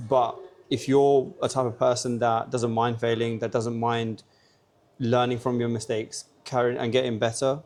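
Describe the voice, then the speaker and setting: A man's voice: mid-range voice, level tone, moderate pace, a little mellow. A man who owns a sweet shop, giving blunt, earnest business advice in a casual interview. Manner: earnest, gives blunt advice with many "like" fillers.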